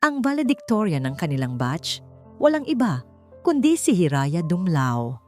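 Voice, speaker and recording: Lady narrating a dramatic story, kinda low voice, moves around a lot, music behind. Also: sing-song, moderate pace, darkish tone. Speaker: audiobook narrator. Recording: music bed under the voice. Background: background music.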